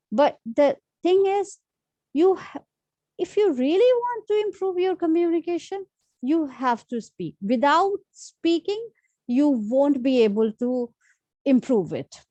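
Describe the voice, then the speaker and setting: A woman's voice: high-pitched, mellow, no echo. A woman from India with an Indian accent, whose first languages are Hindi and Urdu, hosting an unscripted lesson with many fillers and restarts. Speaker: channel host giving tips. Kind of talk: informal talk-to-camera lesson.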